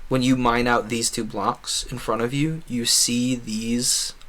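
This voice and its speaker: A man's voice: mid-range voice, fairly even intonation, a bit bright. A man chatting casually over gameplay as a livestreamer, often breaking off and rephrasing as he rambles. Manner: halting, self-correcting, trails off and rephrases. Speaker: livestreamer and video creator.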